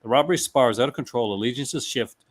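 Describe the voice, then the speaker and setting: A man's voice: mid-range voice, fast talker. Casual man reading movie blurbs aloud. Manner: reads product blurbs aloud, stumbling and restarting, laughs often. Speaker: host. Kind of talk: casual chat.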